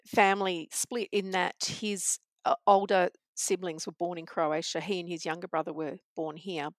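A woman in casual conversation, reflective and earnest, pausing with frequent ums. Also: lowish voice, fairly even intonation, no echo.

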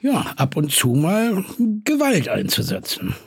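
An actor playing a probing, provocative male podcast interviewer with a husky voice, asking pointed questions with hesitant fillers. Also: on the high side, wildly swooping pitch, a little slow, no echo, full character voice. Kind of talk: acted interview in a scripted audio drama.

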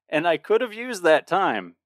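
A man's voice: high-pitched, fairly bright tone, no room sound, clean studio sound. A man in his late thirties co-hosting a podcast in a casual chat, rambling with frequent restarts and laughter. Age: 30s. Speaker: podcast co-host.

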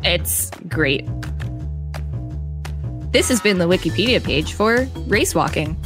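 Female podcast co-host, lowish register, reads reference text with offhand asides, faint music underneath. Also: lively intonation, takes it slowly. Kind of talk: reading an encyclopedia article aloud with casual asides.

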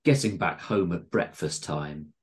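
A man's voice: low voice, measured pace. A man voicing a long-suffering, motherly housewife in a comic story, fussy, doting and fretful.

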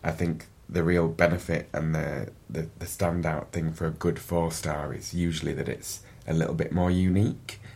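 A man's voice: a bass voice, flat delivery, moderate pace, creaky, few pauses, darkish tone, slightly rough. A middle-aged man with a Yorkshire accent, chatting as a podcast guest and often repeating words as he starts a sentence. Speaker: guest, events agency director. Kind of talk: casual interview chat.

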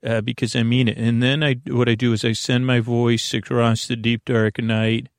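A man with a creaky voice hosting a bedtime podcast, rambling in a lulling, halting way and often interrupting himself as he drifts into tangents. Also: mid-range voice, flat delivery, dry recording, clean studio sound. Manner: meandering, halting, digressive, self-interrupting; soothing, lulling. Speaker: podcast host.

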